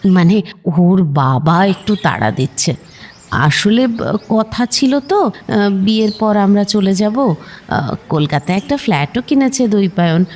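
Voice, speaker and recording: An actress playing a polite but probing middle-aged neighbour woman in an audio drama, speaking haltingly and hesitantly. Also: medium pitch, animated, a little mellow. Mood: hesitant, tactful.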